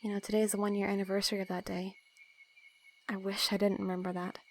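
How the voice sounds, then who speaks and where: A woman acts out a young female assassin in an audio drama, bossy and sarcastic. Her medium-pitched voice carries dry, teasing banter. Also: little pitch movement, quick pace, a little hiss or hum, lightly acted. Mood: regretful, subdued. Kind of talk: casual audio roleplay acting.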